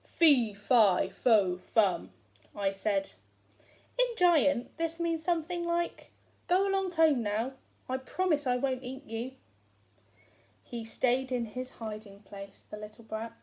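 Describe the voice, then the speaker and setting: A woman's voice: a little high, lively intonation, slow, darkish tone. A woman voicing a huge, hungry, self-pitying giant who complains with sarcastic emphasis as he tells his own storybook tale. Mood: grumbling. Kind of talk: first-person storybook narration read aloud.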